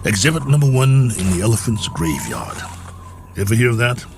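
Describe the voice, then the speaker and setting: An actor playing a lonely stranded space colonel in a radio drama, musing in a wry, world-weary way. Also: male voice, medium pitch, sing-song, moderate pace, gravelly, music in the background. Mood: wry, reflective.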